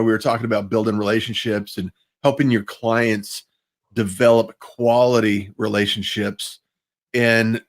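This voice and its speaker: A man hosting a casual, chatty live interview online, talking in a rambling, appreciative way. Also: mid-range voice. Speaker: host of a livestream interview show. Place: at home, live online video call. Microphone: small personal microphone.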